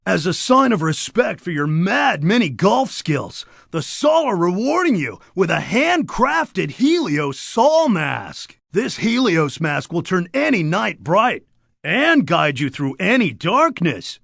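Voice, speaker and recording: Goofy surfer dude game creature, super animated n scratchy, chill braggy beach guide, dry studio sound. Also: male voice, high voice, slow pace, gravelly, no echo, exaggerated voice. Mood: chilled, admiring. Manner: surfer slang, exaggerated laid-back enthusiasm. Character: laid-back upbeat surfer dude cartoon creature, boastful beach guide. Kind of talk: animated game character dialogue.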